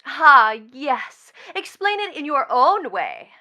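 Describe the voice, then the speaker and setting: A woman's voice: high-pitched, very animated, takes it slowly, bright, forceful tone, heavily acted. A woman playing a bitter, mocking young stepdaughter in a stage drama, with a taunting, theatrical delivery. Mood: scornful. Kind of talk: theatrical stage play acting.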